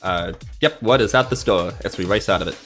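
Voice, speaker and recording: A man's voice: lowish voice, a little fast, fairly bright tone, soft background music. A man with a New Zealand accent playing a tabletop game, bantering casually in a wry, laid-back manner.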